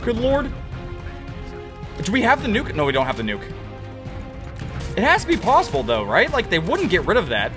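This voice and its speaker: A young man giving excited gameplay commentary from his home setup on a headset, his voice cracking and hoarse as he swings between mutters and shouts. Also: high voice, moderate pace, long pauses, over music. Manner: hyped, exclamatory, jumps between mutters and shouts. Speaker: gaming YouTuber. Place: home gaming setup, indoors.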